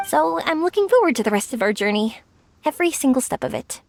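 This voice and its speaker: A woman playing a cheerful, earnest young dreamer in an anime video game, with a sincere, expressive manner. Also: high-pitched, fast, creaky, no room sound, heavily acted. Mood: sincere, hopeful. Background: music.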